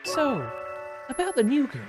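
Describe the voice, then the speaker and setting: A woman voicing young ghost women in a visual novel, with an expressive, animated read-aloud delivery. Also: sits high, measured pace, over music, pitched above the performer's own voice, full character voice. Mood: pensive.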